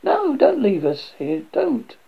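A man voicing a terrified young woman in a story, pleading in a high, husky voice. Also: huge pitch swings, takes it slowly, exaggerated voice. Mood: panicked pleading. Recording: dull lo-fi recording.

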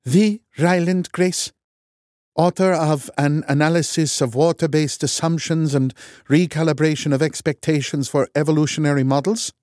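Brief: dour Norwegian woman scientist, dismissive and high-pitched, put on by a man. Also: fairly even intonation, normal speed, darkish tone, no room sound, clean studio sound, lightly acted. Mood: scornful. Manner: condescending, dismissive.

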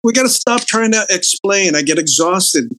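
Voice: medium pitch, expressive, normal speed, fairly bright tone, a little hiss or hum. Rambling comedian guest from the Philippines. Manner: rambling, restarts often, laughs at own jokes. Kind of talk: casual interview chat.

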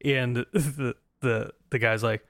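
A man's voice: mid-range voice, fairly even intonation, slow, dry recording, studio quality. American man telling a rambling story. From United States. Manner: rambling, laughing, many restarts. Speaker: podcast co-host. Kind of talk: casual storytelling chat.

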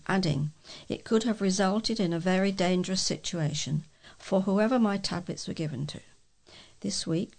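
A woman's voice: medium pitch, gravelly, a little mellow. A woman reading local newspaper reports aloud as a volunteer newsreader.

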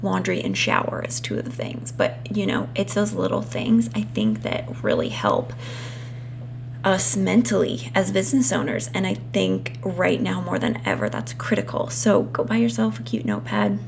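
A woman with a slightly hoarse voice that is starting to go, talking casually and chattily to listeners as a solo podcast host. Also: big pitch swings, moderate pace, a little mellow. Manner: chatty, informal, apologetic asides to listeners. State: losing her voice. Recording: clear background noise, passing truck rumble. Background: noisy truck outside.

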